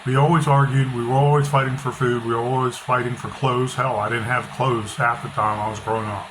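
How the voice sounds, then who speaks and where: A middle-aged author talking casually with a loud, bass-heavy voice, blunt and opinionated, often repeating himself. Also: male voice, flat delivery, fairly quick. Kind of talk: casual monologue. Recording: noisy background hiss.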